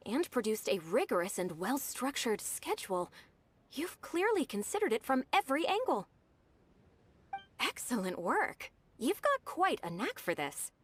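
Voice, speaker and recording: An efficient young female secretary from a game. Her delivery is very animated, swinging up and down in pitch. She is formal, articulate and businesslike, with an eager, deferential manner toward her employer. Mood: pleased, encouraging. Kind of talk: scripted game dialogue.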